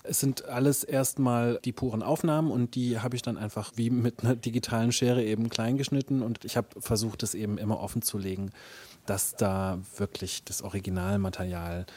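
A man's voice: on the low side, fairly even intonation, a little fast, darkish tone. A man being interviewed as a musician and author, talking reflectively and often searching for the right words. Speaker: interview guest, musician and radio play author.

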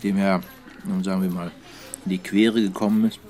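A male actor plays a wry journalist in a German radio drama. The voice sits a little low, and the talk is conversational and musing, like a well-informed friend sharing what he has. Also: little pitch movement, normal speed, dark tone, lightly acted.

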